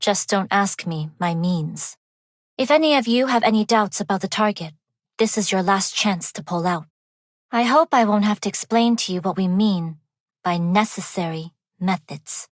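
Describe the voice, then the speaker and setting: A woman voicing a refined young underworld heiress in a video game, speaking in a composed, measured and formal way. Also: mid-range voice, no room sound, clean studio sound, close to an everyday voice. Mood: cool, resolute. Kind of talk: scripted game character voice lines.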